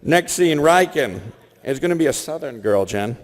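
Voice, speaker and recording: A man's voice: a little high, animated, moderate pace. A man hosting a live comedy show from a convention stage, announcing casually to the room. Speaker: host of live voice-acting show. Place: convention stage in a large hall. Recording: echoey convention hall reverb.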